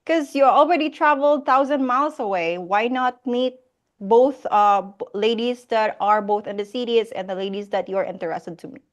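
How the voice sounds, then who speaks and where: A woman's voice: fairly high pitch, normal speed. A Filipino woman hosting a casual live Q&A, reading out viewer questions and laughing often. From Philippines. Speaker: host of a live Q&A.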